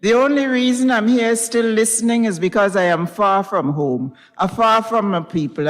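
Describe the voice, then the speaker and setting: A proud, wounded young wife, acted out by a woman reader. She speaks slowly, with pointed emphasis, in a story reading that rings with the reverb of a hall. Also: on the low side.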